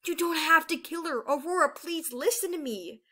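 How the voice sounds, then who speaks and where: A woman as a pleading young dragon sister, higher-pitched. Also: moderate pace, sharp tone. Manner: urgent, emotional.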